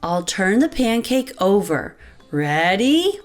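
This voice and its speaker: A woman's voice: mid-range voice, very animated, slow, soft background music. A woman voicing a patient farm mother giving step-by-step instructions in a children's storybook. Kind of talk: children's storybook read-aloud.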